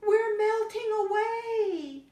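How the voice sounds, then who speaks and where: Little bear cub for a picture book woman reader, high, slow and sing-song, distant roomy sound. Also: dark tone. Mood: playful. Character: playful little bear cubs pretending to be snow bears.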